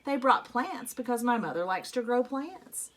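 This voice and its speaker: Higher-pitched woman reading a children's story aloud. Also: sing-song. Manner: dramatic, with drawn-out rueful phrases and emphatic repetition. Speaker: storybook reader. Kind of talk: expressive read-aloud of a children's story in a young boy's first person.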